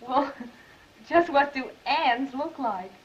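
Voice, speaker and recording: A woman's voice: high-pitched, animated, slow pace. An actress playing a plucky, proud young woman down on her luck, direct and self-possessed, in a 1930s film. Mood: curious, amused. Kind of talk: 1930s film comedy-drama acting. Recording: noisy, muffled 1930s film soundtrack.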